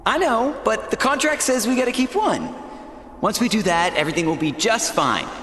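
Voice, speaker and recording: A man's voice: high voice, sing-song, moderate pace, a bit bright. A man voicing a glib, scheming supernatural reality show host in a video game, breezy, flippant and theatrical. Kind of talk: cartoonish video game acting. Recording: very echoey hall sound, faint ambience.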